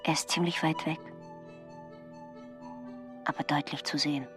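Eine Schauspielerin spielt im Hörspiel eine sehnsüchtige, gequälte Frau, die sich an Hoffnung klammert, in vertraulichem, teils geflüstertem inneren Monolog. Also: female voice, sits low, steady tone, a little slow, over music. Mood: wistful, resolute. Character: yearning, anguished woman clinging to hope. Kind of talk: radio drama acting, inner monologue.

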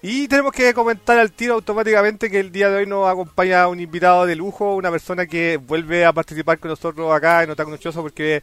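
Chilean guy hosting a podcast, goofy announcer vibe, high voice, clear tone. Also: level tone, fast, hardly any pauses, fairly bright tone, dry recording. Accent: Chilean Spanish. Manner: playful, showman-like announcing. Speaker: podcast host. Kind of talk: casual podcast chat.

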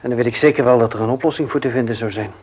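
Radio drama role: man plays resigned, earnest lover, husky mid-pitched voice, halting delivery. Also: a little mellow, lo-fi sound, natural voice. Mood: resigned, sad. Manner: halting, hesitant. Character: earnest, disappointed suitor, resigned and proud. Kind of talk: intimate radio drama dialogue.

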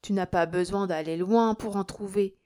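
A shrewd, kindly country priest in a storybook, voiced by a woman reader. He speaks in measured phrases. His manner is calm and coaxing, with a gentle note of reproach. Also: medium pitch, natural voice. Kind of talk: audiobook character acting.

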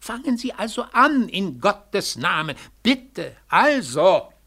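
A man's voice: high-pitched, very animated, takes it slowly, bright, forceful tone, exaggerated voice. A man playing a self-important, patronizing cabaret director in a 1940s German radio drama, theatrical and condescending. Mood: grudging, pompous.

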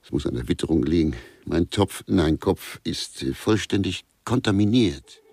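An actor playing a fretful, reclusive older man with a husky voice, who rambles and complains in a radio play. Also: sits low, animated, moderate pace. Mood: fretful, strained. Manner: rambling, complaining, losing his thread. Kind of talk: German radio play dialogue.

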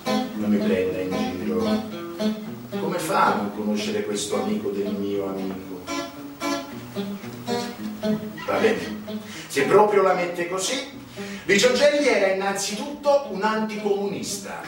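Man doing sardonic insider narrator, theatrical, fairly high voice, big echo, guitar music under it. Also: very animated, a little slow, full character voice. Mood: sardonic, mock-admiring. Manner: emphatic, ironic, rhetorical. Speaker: stage actor, narrator. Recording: echoey stage, distant mic, music behind.

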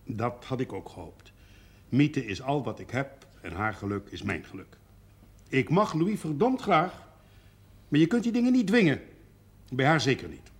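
An actor playing a proud, plain-spoken village brewer and father in a period television drama, with a husky voice. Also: medium pitch, animated, moderate pace, pauses often. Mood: frank, reluctant. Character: firm, proud rural brewer and devoted father. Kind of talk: 1970s Dutch television period drama acting.

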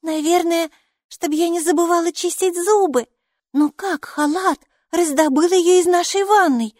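A woman voicing a lively, stubborn, inquisitive little girl in a children's audiobook, speaking emphatically. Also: extremely high pitch, moderate pace, no echo, studio quality, pitched above the performer's own voice. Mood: confident. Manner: emphatic, excitable, matter-of-fact.

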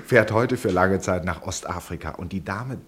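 A low-pitched gentleman sleuth, acted by a man in a radio play. He is shrewd and amused, speaking with ironic, mock-polite authority and a theatrical flair. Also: moderate pace, close to an everyday voice. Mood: amused explaining. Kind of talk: German radio play acting.

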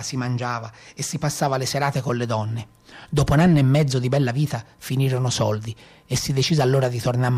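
A man's voice: medium pitch, darkish tone, lightly acted. Man as a weary old Tuscan brigand. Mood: bitter, subdued. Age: 60s, as played. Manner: colloquial, reflective. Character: weary aging Tuscan brigand, proud, bitter, recounting his life. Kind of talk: theatrical first-person storytelling.

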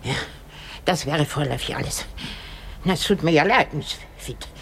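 A woman's voice: low voice, moderate pace, gravelly, a bit bright, a little hiss or hum. An actress playing an elderly, cantankerous, self-pitying woman in a radio drama, exclaiming and groaning with effort. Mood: weary, sardonic.

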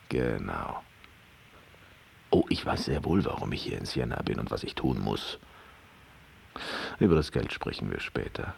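Ein Schauspieler spielt in einem Hörspiel einen kühlen, bedrohlichen, verschwiegenen Spezialisten, knapp und beherrscht im Ton. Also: male voice, rumbling low, creaky, slight background noise, exaggerated voice. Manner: curt, unhurried, understated. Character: secretive hired male specialist, cool and menacing. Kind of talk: radio drama acting.

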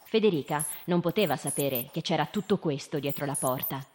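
An actress playing a stern, insistent storyteller who questions children in a live radio drama. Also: female voice, low voice, brisk, a little mellow, natural voice. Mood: storytelling. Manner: short, pressing questions. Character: stern adult woman storyteller interrogating children, insistent and accusing. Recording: very reverberant hall, music behind.

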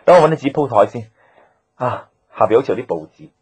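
A man's voice: mid-range voice, lively intonation, fast, darkish tone, slightly rough. An actor playing a modest, practical male herbal doctor in a radio drama, matter-of-fact, with a Hong Kong Cantonese accent. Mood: casual. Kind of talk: naturalistic radio drama dialogue.

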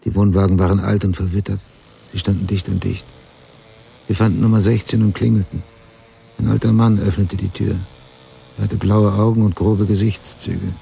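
A grim male crime writer narrating a radio drama. His voice is low, gravelly and dark, and his delivery is sober and terse. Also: flat delivery, normal speed, a little hiss or hum. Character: grim adult male crime writer investigating mother's murder.

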